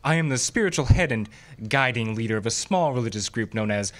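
An actor playing a prosperous, self-important young religious leader who speaks formally, in an old-time radio drama. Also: male voice, mid-range voice, lively intonation, brisk, fairly bright tone, close to an everyday voice. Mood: solemn.

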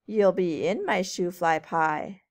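A woman's voice: on the low side, takes it slowly, pitched below the performer's own voice. A woman voicing a plump, hungry female spider, coaxing and sly, in a children's storybook. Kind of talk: children's storybook read-aloud.

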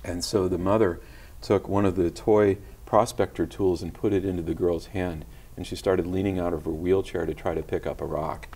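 Soft-spoken man, gentle tv studio guest. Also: sits low, steady tone, normal speed, few pauses, breathy edge. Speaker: guest musician. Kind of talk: casual interview talk. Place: tv studio set. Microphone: lapel mic.

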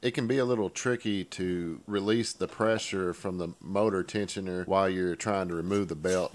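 A man narrating a casual how-to tool review in a plain-spoken, practical way. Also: deep voice. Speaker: hobbyist product reviewer.

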